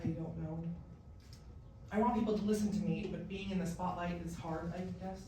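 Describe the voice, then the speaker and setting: An anxious, self-deprecating young college guy in a stage musical, played by a man. His voice sits fairly high and hesitates with self-doubt. It is heard from far off in a very echoey room. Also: fairly even intonation, moderate pace, mellow, slight background noise, close to an everyday voice. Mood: hesitant, withdrawn. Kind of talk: musical theatre staged reading.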